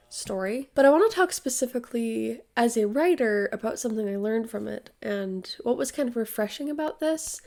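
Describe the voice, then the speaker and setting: A woman's voice: on the high side, mellow. A young woman with a cold, sniffly and tired-voiced, chatting casually about a film as a YouTube host, thinking aloud with fillers and restarts. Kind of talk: casual movie review.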